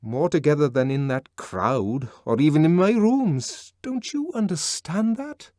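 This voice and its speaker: A man's voice: fairly high pitch, animated, unhurried, no echo, clean studio sound, voice pushed up. A man voicing a brooding, defiant young man. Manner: earnest, brooding, sometimes shouting. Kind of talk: audiobook character voice.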